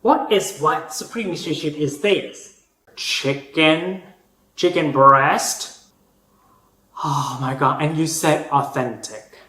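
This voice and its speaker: A man's voice: fairly high pitch, slow pace, bright, forceful tone, roomy sound, a little hiss or hum. A man from Thailand with a Thai accent, giving sarcastic, sassy and dramatically exasperated reaction commentary on cooking. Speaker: host of a food reaction channel.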